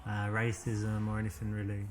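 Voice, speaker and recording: A man's voice: on the low side, completely flat delivery, normal speed, slight background noise, natural voice. An actor playing a cocky man from England with an English accent who flirts and brags about how women love his voice. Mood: chatty. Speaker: man chatting up a woman. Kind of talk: acted dating-game scene.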